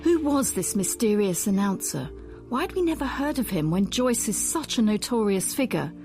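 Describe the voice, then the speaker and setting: A woman narrates a documentary as its presenter. She is articulate and measured, with a mid-range voice. Faint music sits behind her. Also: medium pitch, big pitch swings, darkish tone.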